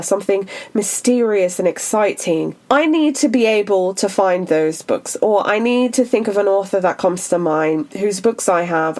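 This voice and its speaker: A woman hosting a solo podcast about books, chatty and upbeat, sounding a little stuffy from a cold. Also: mid-range voice. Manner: chatty and upbeat, lively rises. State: stuffy, under the weather. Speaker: podcast host.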